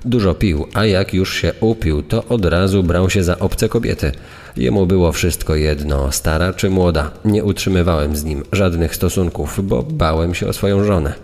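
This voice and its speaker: A man reading old testimony and press reports gravely for a true-crime story, in long reverb. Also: sits low, moderate pace, a little mellow. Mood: grave, bitter. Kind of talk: dramatised reading of archive quotes and press reports. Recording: long reverb, faint music.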